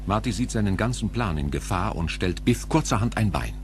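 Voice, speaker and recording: A man's voice: fairly low pitch, lively intonation, brisk. A man narrating an audio drama from a script as its storyteller.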